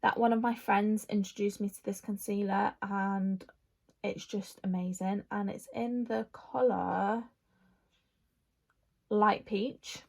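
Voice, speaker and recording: A woman's voice: mid-range voice, fairly even intonation, slow pace, creaky, a little mellow. A woman, chatting casually through a makeup Q&A, rambling with lots of fillers. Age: 20s. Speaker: vlogger.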